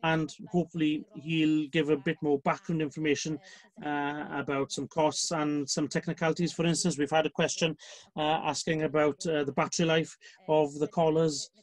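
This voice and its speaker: A man's voice: on the high side, little pitch movement, normal speed. A man hosting a live online farm event, putting questions and introducing a speaker with many fillers. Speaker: event host and moderator. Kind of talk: moderating a live farm webinar.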